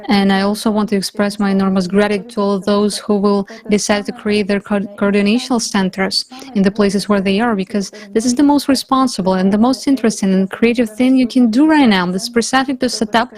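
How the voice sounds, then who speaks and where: A woman giving a live spoken interpretation in measured, formal phrasing. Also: mid-range voice, steady tone, darkish tone.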